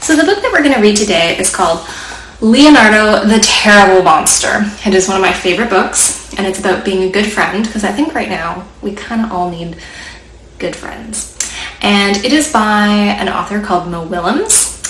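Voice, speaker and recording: A woman's voice: medium pitch, animated, normal speed, a bit bright, recorded from a distance. A woman librarian leading a children's storytime, speaking simply and encouragingly to young listeners and reading aloud. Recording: roomy echo, faint background noise.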